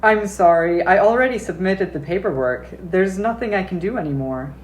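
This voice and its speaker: A woman's voice: lowish voice, moderate pace, a little mellow, lightly acted. A woman playing an apologetic but unbending trip seller in a scripted play. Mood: apologetic, firm. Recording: echoey room with noticeable noise.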